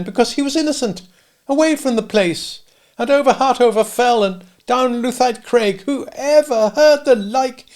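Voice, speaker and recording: A kindly old country dame, high and fluttering, full of exclamations and old dialect speech, as a male storyteller puts her on. The voice has a gravelly grain under it, and she fusses warmly over a sick child in a storybook reading. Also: heavily acted. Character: kindly old village dame, fussing and motherly.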